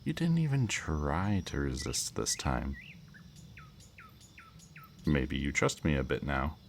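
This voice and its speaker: A man's voice: very deep voice, wildly swooping pitch, heavily acted. A man playing a smug, politely menacing kidnapper in an intimate ASMR roleplay, speaking to the listener in a teasing, mock-courteous way. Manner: slow, teasing, mock-courteous, lingering over words. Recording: faint background noise, chirping night crickets.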